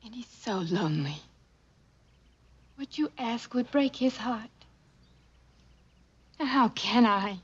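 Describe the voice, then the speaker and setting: Torn young space officer, breathy n slow, pleading, muffled old tv drama. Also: female voice, fairly high pitch, expressive, exaggerated voice. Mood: pleading, anguished. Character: young female lieutenant, torn, pleading and lovestruck. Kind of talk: 1960s television drama acting. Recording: dull old TV soundtrack, faint music.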